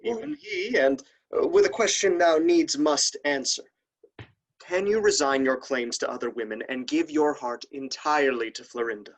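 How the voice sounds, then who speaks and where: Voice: mid-range voice, normal speed, close to an everyday voice. Proud, imperious young nobleman acted out. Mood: formal, resolved. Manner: formal, imperious. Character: proud, domineering young nobleman, stern brother in period comedy. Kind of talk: theatrical Restoration play acting.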